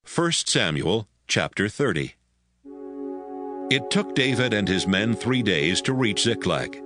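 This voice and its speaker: A man's voice: lowish voice, very animated, takes it slowly, over music. A man narrating a dramatized audio Bible as scripted storytelling.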